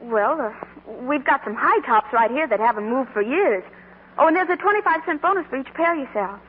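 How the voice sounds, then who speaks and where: A woman's voice: high-pitched, animated, brisk. A woman playing a kindly, bossy young shopgirl in a 1940s radio comedy. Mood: encouraging, anxious. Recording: tinny, noisy 1940s radio recording.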